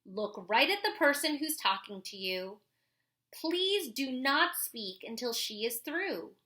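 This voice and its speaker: High, bright-voiced woman teaching children. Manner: instructive, deliberate, expressive. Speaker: teacher reading aloud to children. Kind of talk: children's read-aloud and explanation.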